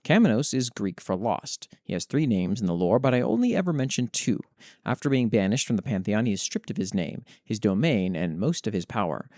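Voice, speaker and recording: A man hosting a show, reading written fantasy lore aloud in an expressive, dramatic way with brief casual commentary. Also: fairly low pitch, brisk, dry recording, clean studio sound. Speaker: host reading listeners' worldbuilding submissions.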